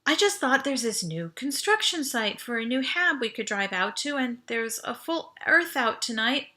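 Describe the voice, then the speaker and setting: A woman voicing an awkward, earnest, lovestruck teenage boy in a sci-fi storybook, halting and self-conscious. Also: fairly high pitch, sing-song, bright, forceful tone, pitched above the performer's own voice. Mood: flustered, reassuring.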